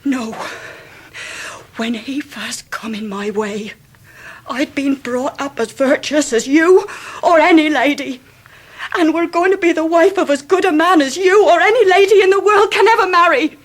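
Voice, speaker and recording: A woman's voice: high voice, moderate pace, lightly acted. An actress playing a disgraced young Victorian woman, imploring and emotional in a radio drama. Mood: tearful remorse. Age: young adult. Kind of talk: 1990s radio drama acting.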